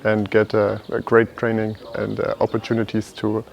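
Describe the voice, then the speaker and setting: A young man speaks in a slightly low voice, with little rise and fall in his tone. He hesitates often as he introduces himself. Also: monotone, moderate pace, vocal fry. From Germany. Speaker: PhD student. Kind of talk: short self-introduction to camera.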